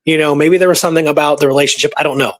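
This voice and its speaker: A man's voice: fairly high pitch, fairly even intonation, rapid-fire. A man hosting a history talk, telling stories casually and going through dates and records in detail. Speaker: podcast host presenting historical research. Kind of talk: casual storytelling conversation.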